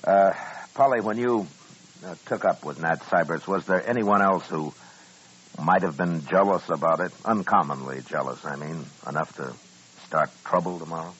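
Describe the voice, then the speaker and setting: Very deep-voiced frontier marshal. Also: male voice, moderate pace, a bit bright, slightly rough. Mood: gentle, probing. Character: steady, firm frontier marshal of the Old West. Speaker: radio drama lead actor and narrator. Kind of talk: 1950s radio western acting. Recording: dull old radio recording with music.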